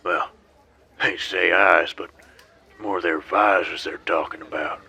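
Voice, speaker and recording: Guy doing a weary folksy sheriff, sci fi audio drama, really harsh bright raspy voice, on the deep side. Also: steady tone, slow, heavily acted. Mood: matter-of-fact. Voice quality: rough, raspy. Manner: folksy, firm lawman. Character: gruff, weary male frontier sheriff in space.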